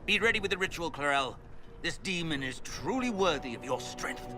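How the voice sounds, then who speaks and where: An actor voicing a scheming magister villain in a video game, pompous, insinuating and theatrical. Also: male voice, a little high, lively intonation, moderate pace, over music, lightly acted. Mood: insinuating, urging. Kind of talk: dramatic video game acting.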